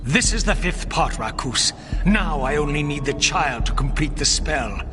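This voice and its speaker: An actor playing an arrogant traitor sorcerer, a man who mocks with drawn-out theatrical menace. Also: a little high, animated. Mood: mocking. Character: arrogant sneering traitor sorcerer, male villain. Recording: loud music behind the voice.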